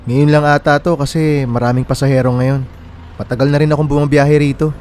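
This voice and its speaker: A man's voice: on the high side, flat delivery, fairly quick, a little mellow, a little hiss or hum, lightly acted. An actor playing a friendly young man in a radio drama, talking in a casual, conversational way. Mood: polite, helpful. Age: young adult. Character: friendly, worried young man waiting at a bus terminal. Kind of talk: naturalistic radio drama dialogue in Tagalog.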